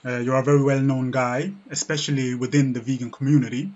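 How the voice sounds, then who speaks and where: A man hosting a casual interview, speaking informally and haltingly with many fillers. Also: medium pitch, steady tone, darkish tone. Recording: dull, muffled lo-fi sound.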